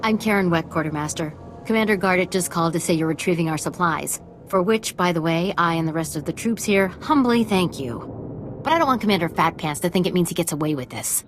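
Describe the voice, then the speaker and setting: An actress playing a blunt, sardonic, aggrieved quartermaster in a sci-fi video game. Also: female voice, on the low side, lively intonation, fairly quick, soft background music, natural voice. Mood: sarcastic, bitter. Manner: sarcastic, blunt. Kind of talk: video game dialogue acting.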